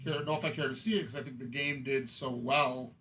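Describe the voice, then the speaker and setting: A man's voice: medium pitch, fairly even intonation, a little fast, background noise. A man chatting informally as a podcast panelist, giving his opinions in a casual, conversational way. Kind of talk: casual opinionated film and game chat.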